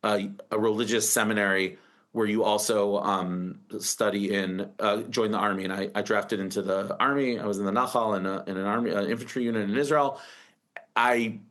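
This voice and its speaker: A man's voice: fairly low pitch, steady tone, moderate pace, fairly bright tone. An American man in his forties, a startup founder telling his story in an interview, rambling with many restarts and fillers. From United States.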